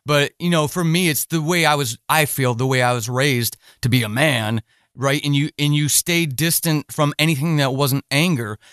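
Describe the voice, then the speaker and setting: A middle-aged man speaks as a podcast host in a conversational interview. His voice sits a little high for a man and the sound is dry. He phrases things in a wordy, hesitant, circling way. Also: moderate pace, a bit bright, clear, resonant tone, dry recording.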